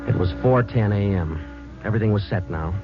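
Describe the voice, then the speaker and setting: An actor playing a terse police sergeant who also narrates, in flat, matter-of-fact 1950s radio drama style, on a dull lo-fi old radio broadcast with music. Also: male voice, on the low side, fairly quick, close to an everyday voice. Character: terse male police sergeant, calm and clipped. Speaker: detective and narrator.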